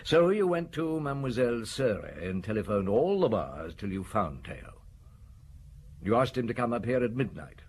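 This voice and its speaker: A man's voice: medium pitch, big pitch swings, normal speed, a little mellow. A man playing a stern, relentless chief inspector in an audio drama, blunt and commanding as he accuses. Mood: relentless, accusing. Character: stern, relentless male police chief inspector, menacing and sure. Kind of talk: audio drama acting, interrogation and narration. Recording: clearly noisy drama recording.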